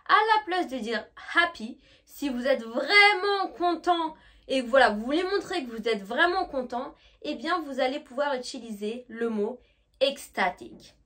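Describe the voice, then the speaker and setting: High-voiced French woman teaching English. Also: expressive, a bit bright. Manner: friendly, direct, addresses viewer informally, slows down to model English words. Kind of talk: online language lesson.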